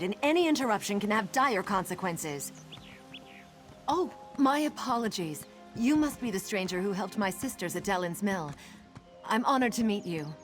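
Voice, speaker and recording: A woman's voice: medium pitch, close to an everyday voice. Earnest, worried priestess over music, acted by a woman. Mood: stern. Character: earnest female nature-cult priestess, solemn and worried. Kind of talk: fantasy video game voice acting.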